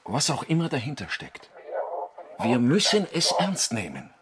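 An actor playing a grave, suspicious astronaut in a German science fiction radio drama, with a pointed way of talking. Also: male voice, mid-range voice, huge pitch swings, unhurried, very noisy. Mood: grave, decisive.

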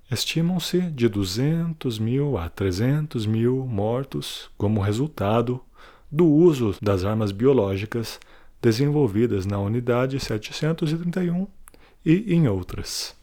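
A man reading scripted documentary narration in a formal, measured manner. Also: on the low side, dark tone. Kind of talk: scripted documentary narration for an audio drama podcast.

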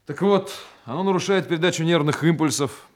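Actor as a measured, commanding army major. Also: male voice, fairly high pitch, natural voice. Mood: grave, explanatory. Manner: crisp military orders, measured explanations. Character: commanding army major, demolition officer, stern but humane. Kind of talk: Soviet radio play acting.

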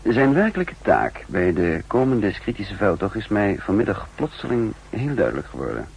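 An actor playing a cool, commanding spymaster and double agent in a 1960s Dutch radio drama, speaking in an assured, deliberate way. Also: male voice, lowish voice, wildly swooping pitch, creaky, darkish tone, slightly rough, heavily acted. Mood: calm, confiding.

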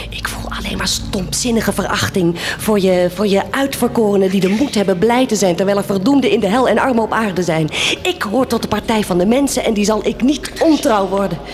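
A woman's voice: mid-range voice, darkish tone, lightly acted. An actress playing a fierce, compassionate village woman with a solemn, declamatory intensity, in a radio drama. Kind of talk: stage-like radio drama acting.

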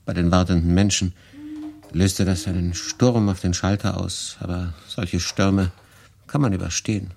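An actor playing a melancholy, resigned refugee man in a literary radio play, speaking in a husky voice with sombre, measured phrasing and deliberate pauses. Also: low-pitched, steady tone, a little mellow. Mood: weary, resigned. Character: melancholy male refugee, resigned, recounting his story. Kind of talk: literary radio play, first-person narration and dialogue.

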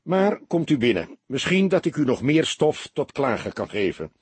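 Audiobook detective, voiced by a male reader: dry, nonchalant, politely provocative, husky tone, close dry sound. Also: mid-range voice, very animated, moderate pace, darkish tone, no room sound, pitched above the performer's own voice. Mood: dry, resigned. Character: laconic, calm, needling male police detective. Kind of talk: audiobook character voice.

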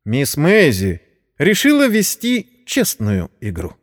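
Animated male storyteller with long reverb. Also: fairly high pitch, takes it slowly. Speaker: narrator. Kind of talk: dramatic scripted story narration.

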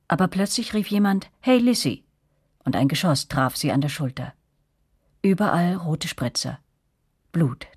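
An actress playing a reflective female narrator who recalls her girlhood, telling a radio drama in measured, serious literary first person. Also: low-pitched, darkish tone, husky, no room sound, studio quality. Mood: tense recollection.

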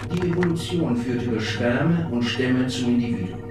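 An actor playing an earnest scientist setting out a theory in a formal lecture style. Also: male voice, mid-range voice, animated, normal speed, background noise, natural voice. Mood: measured, earnest. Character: earnest male scientist expounding a speculative theory. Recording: very echoey hall sound.

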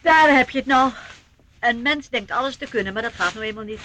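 An actress playing a dotty, doting, unflappable elderly aunt in a radio drama. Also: female voice, a little high, brisk, natural voice. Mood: sheepish. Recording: noisy old radio play recording.

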